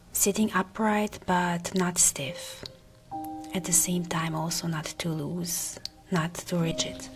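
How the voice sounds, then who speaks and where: Woman doing guided meditation, lowish soft breathy voice almost whispering, really slow, music behind her. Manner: soothing, gentle, unhurried.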